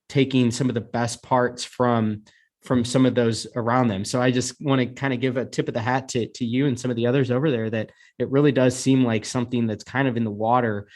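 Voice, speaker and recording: A man's voice: mid-range voice, darkish tone. A male podcast host with a mid-range voice, talking to his guest in an easygoing, reflective way. He strings his thoughts together loosely, with asides and qualifiers. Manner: reflective, complimentary, loosely worded. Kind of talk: conversational podcast interview.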